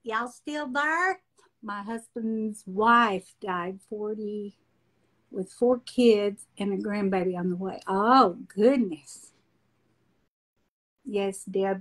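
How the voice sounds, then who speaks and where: A woman, hosting a casual live chat in her craft room, folksy and chatty. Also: mid-range voice. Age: 60s. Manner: folksy, chatty, laughs often. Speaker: livestream host.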